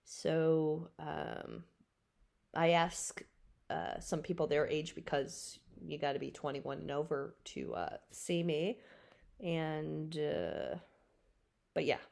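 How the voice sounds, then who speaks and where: A woman's voice: low-pitched, expressive, takes it slowly, gravelly, a little mellow. A woman in her forties hosting a solo podcast, answering questions off the cuff in a frank, rambling, matter-of-fact way. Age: 40s. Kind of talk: casual unscripted solo podcast talk.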